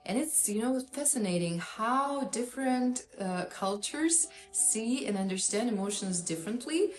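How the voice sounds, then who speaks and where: A woman's voice: fairly high pitch, lively intonation, a little slow. A woman therapist with a Russian accent gives an earnest, explanatory talk, with frequent fillers. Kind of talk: educational talk to camera. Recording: echoey room with faint music.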